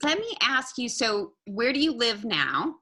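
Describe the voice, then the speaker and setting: A woman's voice: fairly high pitch, big pitch swings, measured pace, pushed and bright, husky. A woman hosting a podcast interview, enthusiastic and exclamatory, often restarting her questions. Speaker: podcast host, modeling agent. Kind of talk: casual interview.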